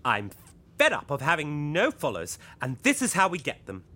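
A woman's voice: sits low, wildly swooping pitch, normal speed, sharp tone, heavily acted. A woman playing a pompous, scheming cult leader who preaches pleasure in an audio sitcom. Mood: insistent, irritated. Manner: pompous, theatrical, emphatic.

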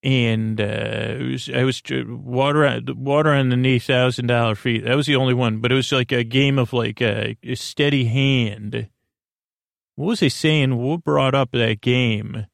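A man hosting a bedtime podcast in a rambling, halting monologue that keeps digressing and trailing off, with a creaky voice. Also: mid-range voice, steady tone, no room sound, clean studio sound. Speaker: podcast host.

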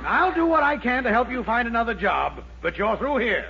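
A huffy male store boss in a 1940s radio sitcom, blustering in a very high, sharp voice that swoops around. The old recording is muffled. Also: sing-song, a little fast, creaky, full character voice. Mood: indignant.

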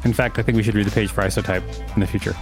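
Male podcast reader with soft music behind. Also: lowish voice, fairly even intonation, rapid-fire. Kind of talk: reading an encyclopedia article aloud with casual asides.

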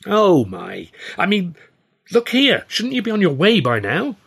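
A male audiobook reader acts out an agitated, fretful male friend. The voice jumps high and loud, urgent and exclaiming, with a husky edge. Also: wildly swooping pitch, measured pace, heavily acted. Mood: fretful, urging.

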